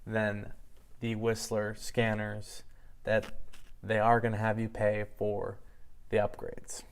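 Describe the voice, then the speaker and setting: A man's voice: on the low side, clean tone. A man giving an informal product review in a matter-of-fact, explanatory way.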